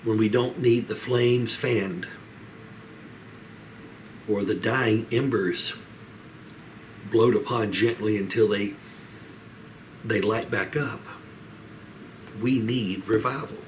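A man, teaching the Bible as a pastor in a measured, earnest way with frequent pauses, seated in a small room a little way from the mic. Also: on the low side, fairly even intonation. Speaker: pastor leading a Bible study. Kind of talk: Bible teaching, expository sermon. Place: small home office, indoors. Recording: clear background room noise.

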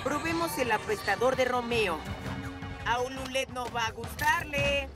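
Cartoon boy ninja, Latin American Spanish: commanding little villain, high-pitched, showy, over a loud score. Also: a little slow. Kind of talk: animated cartoon dubbing. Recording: loud music behind.

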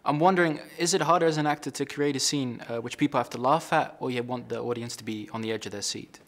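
A man's voice: medium pitch, fast. A man in his twenties asking polite, prepared questions as an interviewer. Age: 20s. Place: indoor press junket room, seated before a film backdrop.

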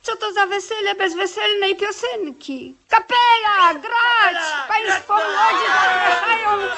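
An actress playing a festive, hospitable wedding hostess proclaiming in an audio fairy tale. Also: female voice, extremely high pitch, slow pace, bright, forceful tone, heavily acted. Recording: old radio play with crowd behind.

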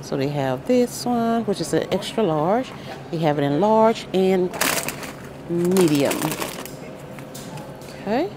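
A woman's voice: on the low side, animated, takes it slowly, pauses often, background noise. A woman filming a shop-with-me video in a large store, upbeat, reading out each item and its price with little exclamations of delight. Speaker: shopping vlogger. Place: aisles of a large grocery store, indoors. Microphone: handheld phone camera.

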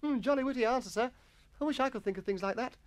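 A man voicing an eager, earnest English schoolboy, over-polite and excitable, in a children's story reading. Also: very high-pitched, fast, dry recording, pitched above the performer's own voice. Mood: exaggerated delight.

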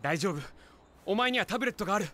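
An actor voicing a hot-headed, protective young student in an anime film, dramatic and suspicious in manner. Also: male voice, high voice, animated, quick pace, bright, forceful tone. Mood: defiant, urgent. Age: young adult.

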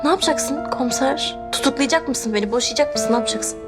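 A stubborn young wife, played by a woman, coaxing one moment and sassy the next, with a high voice and quick talk. It is a TV drama scene, with strong music under her lines. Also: natural voice. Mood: defiant. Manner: coaxing, defiant, quick retorts. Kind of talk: TV drama acting. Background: music score.

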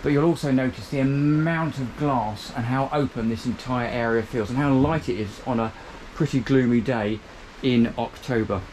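A man's voice: mid-range voice, normal speed, hardly any pauses, a little mellow, slight background noise, poor audio. A man, giving an upbeat walkthrough tour of a small motor boat cabin, filmed on a handheld camera. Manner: chatty, upbeat, pointing out features as he moves. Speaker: boat reviewer.

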